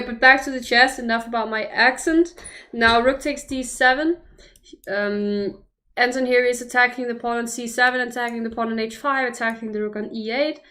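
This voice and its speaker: A woman's voice: on the high side, fairly bright tone. A young woman from Denmark, commentating chess live on a stream and thinking aloud over the moves with hesitant restarts. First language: Danish.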